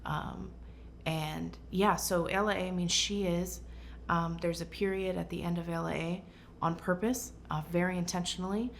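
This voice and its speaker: A woman's voice: fairly low pitch, fairly even intonation, unhurried, creaky, a little hiss or hum. A woman who grew up in Portugal, speaking earnestly and thoughtfully as a brand founder and designer in an interview. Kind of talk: reflective interview answers.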